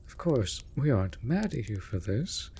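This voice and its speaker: An actor playing a mage's raven familiar in a fantasy audio drama, theatrical and formal, scolding but caring. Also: male voice, mid-range voice, very animated, normal speed, mellow, slight background noise. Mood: chiding, caring. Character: male raven familiar of a storm mage, exasperated but devoted. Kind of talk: scripted fantasy audio roleplay.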